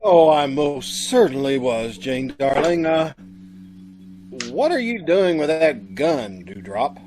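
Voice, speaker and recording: A man's voice: a little high, very animated, slow, background noise. A man playing a gullible, boyish bridegroom, earnest and naive, in an old-time radio comedy mystery. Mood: sappy, startled. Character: gullible, lovestruck, boyish bridegroom, meek and sappy.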